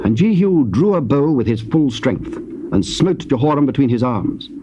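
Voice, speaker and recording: A British man narrates scripture as solemn storytelling. His voice is of medium pitch. The recording is an old cassette with clear noise behind him. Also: very animated, moderate pace, highs cut off.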